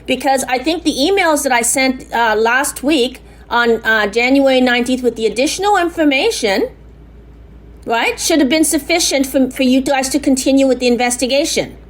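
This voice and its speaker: A woman with a naturally loud voice, calling to press a complaint and arguing insistently over the phone, repeating herself and talking over the other person. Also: high-pitched, moderate pace. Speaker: caller making a complaint. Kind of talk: heated phone argument. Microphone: phone call.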